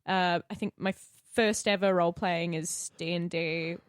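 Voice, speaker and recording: A woman's voice: fairly low pitch, steady tone, normal speed, no echo, studio quality. A woman with an Australian accent chatting casually on a podcast, in an informal, sweary way. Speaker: podcast co-host and actor.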